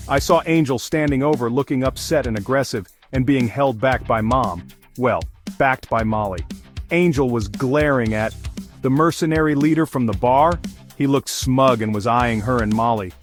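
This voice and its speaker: A man narrating a first-person comedic fantasy story as a scripted audio series. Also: medium pitch. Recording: music behind the voice.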